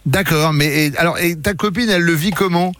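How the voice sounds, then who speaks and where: A man's voice: fairly high pitch, brisk, a bit bright, no room sound. A man hosting a morning radio show, chatting playfully with callers and joking around in a studio. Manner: joking, teasing, upbeat. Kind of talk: lively radio chat with callers. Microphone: studio microphone.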